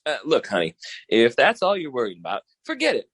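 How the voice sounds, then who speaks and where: A man's voice: mid-range voice, wildly swooping pitch, fairly quick, fairly bright tone, slightly rough, no echo, exaggerated voice. A man playing a slick, shady gambler boyfriend with swagger in a 1940s-style radio comedy. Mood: smug reassurance.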